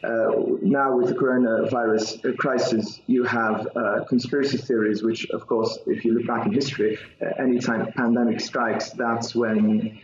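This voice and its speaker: A man from Belgium, a panel guest speaking over a video call in a measured, hesitant way with many fillers. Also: medium pitch, little pitch movement. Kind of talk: remote panel discussion. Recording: roomy, dull audio.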